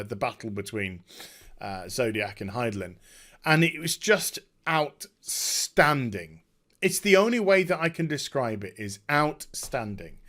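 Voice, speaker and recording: A man talking casually and off the cuff as a video host, emphatic and excitable, often halting and repeating his words. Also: mid-range voice. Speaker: video host giving a game story discussion. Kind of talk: unscripted casual rambling commentary.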